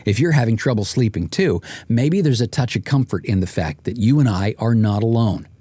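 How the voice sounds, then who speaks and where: A man's voice: mid-range voice, animated, a little fast, mellow, husky, dry recording. A middle-aged man reading an audiobook in the first person, with wry, dramatic comic emphasis. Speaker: author, podcast host and morning radio presenter.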